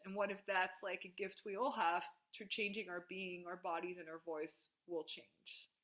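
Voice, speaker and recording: Woman, facilitator, video call, bright tone, enthusiastic, casual. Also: on the low side, flat delivery, normal speed. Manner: enthusiastic, frequent "like", laughs easily. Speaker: workshop facilitator. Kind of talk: casual group call coaching.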